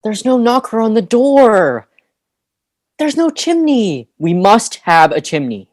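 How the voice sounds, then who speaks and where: A woman's voice: fairly high pitch, sing-song, takes it slowly, a little mellow, dry recording, studio quality. A woman playing a proud, commanding boy leader in an audio play. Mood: bossy, eager.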